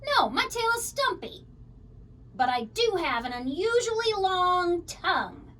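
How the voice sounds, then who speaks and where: Woman voicing a whiny, high-pitched scruffy boy monster. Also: sing-song, unhurried, a bit bright, recorded from a distance, full character voice. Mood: playful, mock-menacing. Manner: whining, mock-menacing. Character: big scruffy male monster, whiny and boastful. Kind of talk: children's storybook read-aloud.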